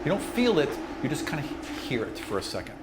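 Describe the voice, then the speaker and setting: A man's voice: on the high side, huge pitch swings, fast, very noisy. A man running a tabletop role-playing game, describing things in an informal, off-the-cuff way with casual asides. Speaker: game master.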